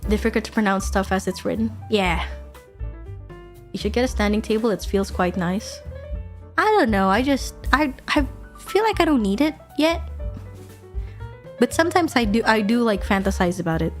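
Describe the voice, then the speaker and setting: A young woman chats loosely on a home stream, swearing freely and breaking into laughs as she answers her viewers. Her voice sits at an ordinary middle pitch, with soft background music playing faintly under her talk. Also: lively intonation, normal speed, pauses often. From Indonesia. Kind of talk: casual chat stream.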